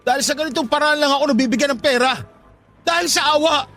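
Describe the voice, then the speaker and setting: A man's voice: extremely high pitch, quick pace, bright, forceful tone, faint music behind, exaggerated voice. An actor playing a struggling grown son in a radio drama, defensive and bitter, ashamed of how he earns money. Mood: anguished. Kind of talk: Tagalog radio drama acting.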